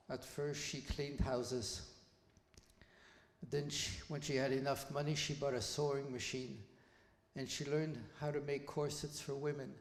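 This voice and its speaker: A man of around 80, from Belgium, giving a personal testimony to students as a guest speaker in a school hall, telling his story in a measured, plain way with small restarts. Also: mid-range voice. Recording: echoey hall reverb.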